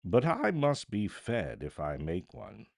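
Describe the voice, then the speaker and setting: A red-faced Victorian gentleman performed by a male reader, greedy and inquisitive. He blusters through his lines with swooping pitch and some gravel in the voice. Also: wildly swooping pitch, slow, dry recording, clean studio sound, exaggerated voice. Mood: blustering.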